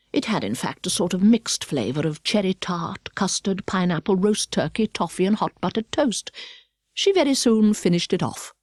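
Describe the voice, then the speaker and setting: A middle-aged British woman narrating a children's storybook with precise, expressive delivery. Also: medium pitch, no room sound, clean studio sound. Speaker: audiobook narrator. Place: dry studio.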